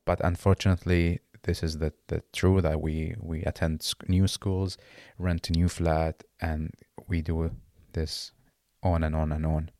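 A very deep-voiced man in his late twenties, originally from Iraq and not an English speaker. He talks haltingly, restarting phrases as he searches for words. The sound is dry and close, with little room around it. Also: flat delivery, dark tone, dry recording. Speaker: guest. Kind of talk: casual interview conversation.

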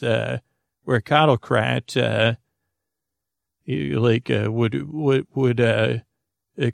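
A man hosting a sleep podcast, rambling in a halting, meandering, goofy way with many tangents and false starts. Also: mid-range voice, vocal fry, dry recording, studio quality. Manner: goofy, whimsical. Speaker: podcast host.

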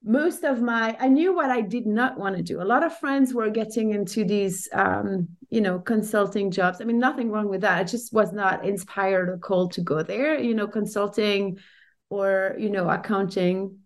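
A woman's voice: mid-range voice, normal speed. Reflective woman. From Brussels, Belgium. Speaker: professor, podcast guest. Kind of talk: conversational interview.

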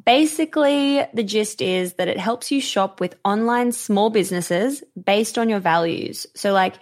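Croaky-voiced upbeat woman podcast host. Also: medium pitch. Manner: upbeat, chatty. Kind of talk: casual podcast monologue.

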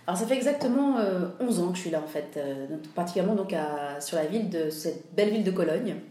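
A woman's voice: fairly low pitch, lively intonation, fast, warm tone. A woman from France talking casually as a podcast guest, hesitating often with fillers and restarts. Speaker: interview guest. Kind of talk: casual interview conversation. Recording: roomy echo.

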